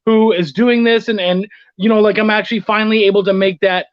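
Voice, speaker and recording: On a slightly muffled, very dry recording, a man talks casually as an interview guest. He tells stories in an animated, conversational way and swears often. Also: sits high, brisk, no echo. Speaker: guest, freelance writer and editor. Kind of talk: casual anecdotal chat.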